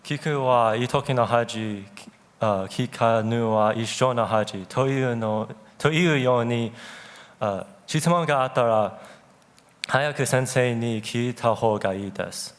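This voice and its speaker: A young man with a medium-pitched voice gives a contest answer, piecing it together as he goes. The recording has the long echo of a large hall. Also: normal speed. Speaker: student contestant. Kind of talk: spoken quiz answer. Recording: echoey hall with long reverb.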